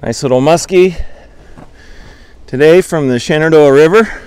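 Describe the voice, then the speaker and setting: A man in his thirties giving a casual fishing report from a raft on a windy river, talking in a relaxed, easygoing way with plenty of ums, recorded by a camera a few feet away. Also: a little high, a little slow, clean tone. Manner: relaxed and conversational, many ums. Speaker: fly shop guide giving a fishing report. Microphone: camera at the bow, a few feet away.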